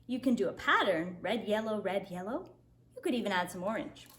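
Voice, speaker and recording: A woman teacher speaking to kindergarteners, expressive and simply instructive, as in story time and craft lessons. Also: mid-range voice, brisk, slight background noise. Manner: expressive, simple instructive phrasing for young children. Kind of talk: storybook read-aloud and craft instructions for children.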